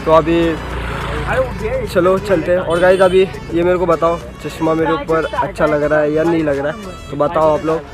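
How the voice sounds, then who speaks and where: A young man talking into a phone held at arm's length beside an outdoor road. His voice sits a little high, and he rambles along, circling back with fillers and restarts. Music plays clearly behind him. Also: flat delivery, moderate pace. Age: young adult. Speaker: vlogger. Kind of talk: casual travel vlog. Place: outdoors by a roadside.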